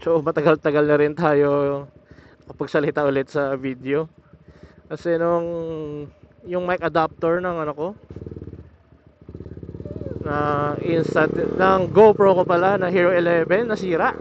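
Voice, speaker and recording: A young man vlogging while riding a motorcycle, explaining his setup in a casual, halting way with many restarts. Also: fairly high pitch, steady tone, a little hiss or hum. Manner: hesitant, restarts words, explains step by step. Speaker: motovlogger. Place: on a motorcycle outdoors. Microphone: motorcycle helmet intercom over Bluetooth. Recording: muffled helmet intercom audio.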